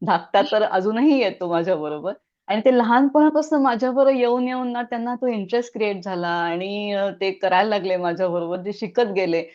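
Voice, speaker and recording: A woman's voice: mid-range voice, a little fast, highs cut off. A middle-aged woman puppeteer, answering interview questions in a reflective, casual way with frequent hesitations. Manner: reflective, many hesitations, laughs softly.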